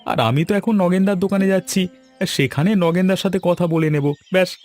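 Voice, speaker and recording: Man playing a chatty, higher-voiced village matchmaker. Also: fairly quick, a little mellow, faint music behind, close to an everyday voice. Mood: coaxing. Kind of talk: Bengali cartoon dialogue.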